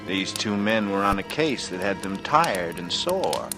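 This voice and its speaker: A man's voice: fairly low pitch, very animated, a little slow. An actor playing a secretly guilty man who confides tensely and feigns innocence, in 1940s radio noir style. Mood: tense, brooding. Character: secretly guilty strangler narrating, nervy, falsely casual man from the East. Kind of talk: 1940s radio noir acting, first-person narration. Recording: old radio recording with loud music.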